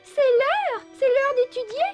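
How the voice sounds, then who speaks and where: Nagging small female cartoon creature, extremely high and squeaky, scolding, light music underneath. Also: expressive, a little slow. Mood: bossy. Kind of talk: animated cartoon dub acting.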